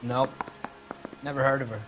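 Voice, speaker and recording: Male actor as a defensive small-time crook, slangy, curt, mid-pitched, faint score underneath. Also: flat delivery, normal speed, music in the background, close to an everyday voice, poor audio. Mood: grudging. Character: surly, defiant small-time car thief under questioning. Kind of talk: dramatic video game acting, 1940s crime drama.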